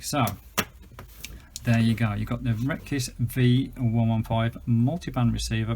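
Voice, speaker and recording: A man's voice: medium pitch, creaky, dark tone, a little hiss or hum. A British man giving a casual, unscripted product demonstration as a video host, chatty and informal, with some hesitancy. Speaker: gadget reviewer and video host.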